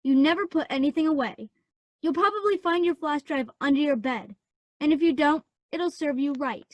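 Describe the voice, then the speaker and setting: A woman's voice: high-pitched, moderate pace, dry recording, close to an everyday voice. A woman playing a spiteful, bratty teenage girl in a radio play, speaking in a snippy, scornful way. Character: Amy, spiteful bratty teenage younger sister.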